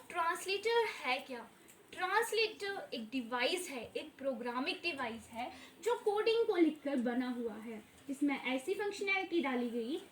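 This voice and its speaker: Young Indian woman, lesson host, Indian accent, high voice, slow English definitions. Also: a bit bright, a little hiss or hum. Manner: mixes English terms into Hindi, slow deliberate English definitions. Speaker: computer science teacher. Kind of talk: explanatory lesson.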